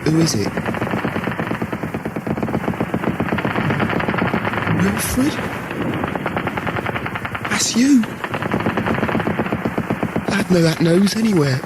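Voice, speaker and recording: A bewildered, wounded young soldier in a radio war drama. He speaks slowly and earthily, with big swings in pitch, under very loud battlefield noise. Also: big pitch swings, exaggerated voice. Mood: anxious, searching. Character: wounded young First World War soldier and morris dancer. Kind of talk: radio play acting.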